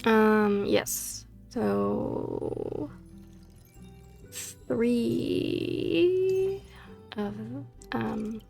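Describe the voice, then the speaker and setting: Slow, gravelly woman gaming host. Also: a little high, big pitch swings, darkish tone, faint music behind. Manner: hesitant, filler-heavy, self-correcting. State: losing her voice. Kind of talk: casual game playthrough commentary.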